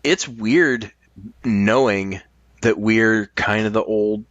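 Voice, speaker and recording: A man's voice: on the low side, takes it slowly, a bit bright, no room sound, lo-fi sound. A man in his late forties chatting casually and candidly as a podcast guest, swearing freely. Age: late 40s. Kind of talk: casual chat.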